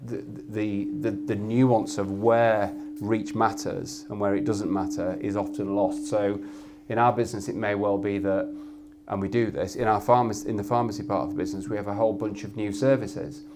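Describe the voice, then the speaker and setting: A man with a Yorkshire accent and a loud voice, speaking as a guest on a live industry panel and often restarting his sentences as he thinks. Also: on the low side, fairly even intonation, normal speed, hardly any pauses, heavy background noise. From Yorkshire, England. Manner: thoughtful, with frequent restarts and repeated words. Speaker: head of media effectiveness, guest. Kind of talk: panel discussion at an industry event.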